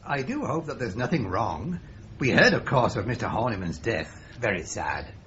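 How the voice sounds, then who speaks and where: Genteel fusspot manager, animated pitch, lo-fi radio. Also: male voice, moderate pace. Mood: fussy. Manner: prim, fussy, genteel exclamations. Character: Mr Manifold, fussy male loan society manager. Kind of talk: BBC-style radio drama acting. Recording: dull lo-fi radio play with faint hiss.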